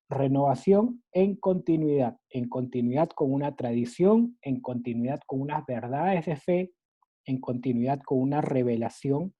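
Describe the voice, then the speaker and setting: A man's voice: fairly high pitch, warm tone, husky. A Peruvian man with a mixed Latin American Spanish accent, teaching a catechism lesson in an earnest, explanatory way, often restarting with fillers. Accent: mixed Latin American Spanish, Peruvian base. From Peru. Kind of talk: catechesis lecture. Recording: dull lo-fi recording.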